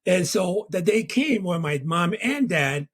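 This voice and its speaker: Middle-aged man from Tonga, husky, slightly high-pitched, reflective interview storytelling, frequent "you know". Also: animated, measured pace. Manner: warm, reflective, constantly says "you know". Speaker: guest.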